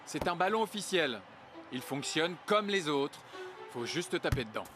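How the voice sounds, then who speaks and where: A man's voice: fairly high pitch, sing-song, moderate pace. A man voicing a matter-of-fact match official in a cartoon. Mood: dismissive. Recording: music behind the voice.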